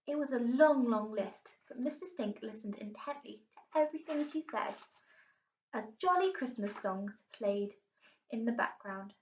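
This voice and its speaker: Woman, teacher reading aloud, expressive storytelling, slightly distant from mic, somewhat muffled. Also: on the high side. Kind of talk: storybook narration. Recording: roomy, distant, muffled home recording.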